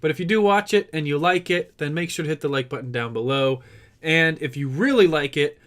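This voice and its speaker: A man's voice: a little high, a little fast, a bit bright. A man hosting a gaming video with casual, playful let's play commentary. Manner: playful asides, repeats the game's words.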